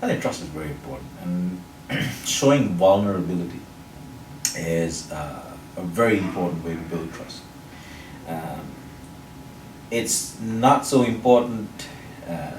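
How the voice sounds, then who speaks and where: A man from Sri Lanka, a public speaking champion, answering interview questions in a measured, explanatory way, with a mostly neutral international accent. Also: low voice, lively intonation. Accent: neutral international English, faint Sri Lankan. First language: Sinhala or another Sri Lankan language. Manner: measured, explanatory, builds points in lists. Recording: roomy echo, mic at a distance, faint noise.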